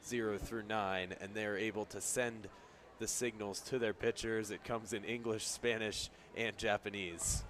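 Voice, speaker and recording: A man's voice: fairly low pitch, steady tone, unhurried, a bit bright. A man giving conversational, explanatory color commentary during a live baseball radio broadcast. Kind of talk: conversational live game analysis. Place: outdoor baseball stadium. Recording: clearly noisy outdoor stadium background. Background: outdoor ballpark ambience.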